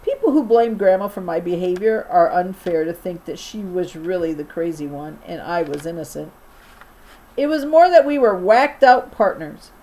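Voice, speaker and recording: A woman teacher reading a children's novel aloud in an expressive storytelling style for a young class. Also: medium pitch, poor audio.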